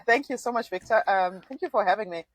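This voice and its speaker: A woman's voice: mid-range voice, fast talker, dry recording, dull audio. A woman in her forties from Zimbabwe, a former political candidate, telling her story as a podcast guest with frequent small laughs. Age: 40s. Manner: thoughtful, laughs often, frequent restarts. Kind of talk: conversational interview.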